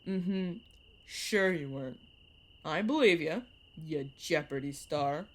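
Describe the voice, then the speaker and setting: Low-pitched, teasing young vampire mentor played by a woman. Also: takes it slowly. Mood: relaxed, teasing. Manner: teasing, sarcastic, chatty. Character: playful, teasing vampire mentor, turned at nineteen. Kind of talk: narrative audio roleplay, one-sided conversation.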